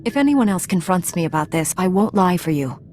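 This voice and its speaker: Woman playing a low-voiced, resolute Jedi heroine. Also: expressive, a little fast, darkish tone, slight background noise, natural voice. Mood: earnest, firm. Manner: earnest, firm, composed. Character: resolute, principled young female Jedi knight hero.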